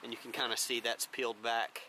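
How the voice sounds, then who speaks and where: A man's voice: medium pitch, flat delivery, a little fast, pushed and bright, slight background noise, low-quality recording. An American man around forty giving a casual gear review in vlog style. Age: 30s to 40s.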